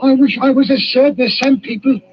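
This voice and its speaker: An actor playing a nervous young grave robber, surly and snappish. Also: male voice, very high-pitched, fast. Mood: jumpy. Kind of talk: 1940s radio acting. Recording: muffled old radio recording with hiss.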